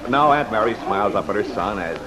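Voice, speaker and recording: Animated old-time radio announcer man. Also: on the low side, a little fast, a crowd in the background. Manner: polished storytelling. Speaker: announcer and narrator. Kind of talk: 1940s radio scripted narration. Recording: noisy vintage radio broadcast.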